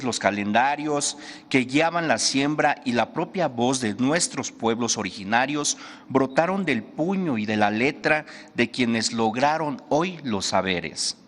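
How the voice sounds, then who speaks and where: A man's voice: medium pitch, fairly bright tone. A man speaking formally and solemnly at a press conference, introducing a cultural segment. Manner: solemn, measured delivery. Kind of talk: formal ceremonial speech. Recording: long hall reverb.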